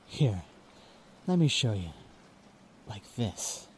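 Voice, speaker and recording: A man playing a gentle young knight who talks softly and soothingly in a fan-made audio drama. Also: sing-song, slow pace, slightly rough, heavily acted. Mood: gentle, instructive. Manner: soft, soothing, low-spoken.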